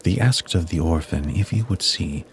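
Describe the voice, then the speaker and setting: A man narrating a rhymed Christmas story in verse with a measured, solemn delivery. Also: low voice, slight background noise. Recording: music bed under the voice. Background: music.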